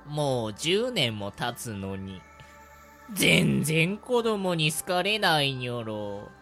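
A woman voicing a goofy, whiny, soft-hearted monster who wants to be liked, in an amateur audio drama. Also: low voice, sing-song, slow, soft background music, exaggerated voice. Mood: wistful. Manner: cutesy, whiny, with a quirky verbal tic ending sentences.

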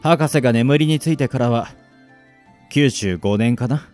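A man playing a long-lived artificial caretaker in a radio drama, calm, devoted and casually philosophical. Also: mid-range voice, a little slow, lightly acted. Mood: calm, reflective. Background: music.

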